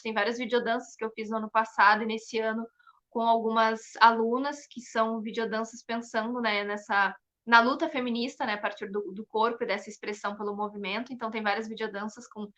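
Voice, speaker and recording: Bright, higher-pitched woman. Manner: enthusiastic, frequent "né" tags. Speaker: interview guest, performing artist and researcher. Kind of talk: casual explanatory interview talk.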